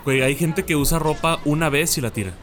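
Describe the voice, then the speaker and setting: Mexican male co-host, blunt slangy banter, slightly high voice, faint music bed. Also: fast talker, fairly bright tone, a faint crowd. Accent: Mexican Spanish. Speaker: podcast co-host. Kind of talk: casual chat.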